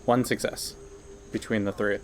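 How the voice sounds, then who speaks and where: A man's voice: lowish voice, level tone, moderate pace, a little hiss or hum. A man playing a tabletop roleplaying game, chatting casually with the other players. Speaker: player in an actual-play show.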